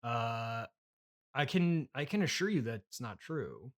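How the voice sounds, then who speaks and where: A man hosting a comedic interview, halting and apologetic, talking in a bemused, conversational way. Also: medium pitch, animated, fairly bright tone. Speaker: podcast interviewer.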